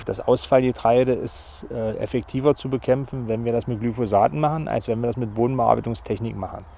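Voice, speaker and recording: Farmer guy in an interview, dark gravelly voice, halting and matter of fact. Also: mid-range voice, fairly even intonation, slight background noise. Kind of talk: unscripted interview explanation.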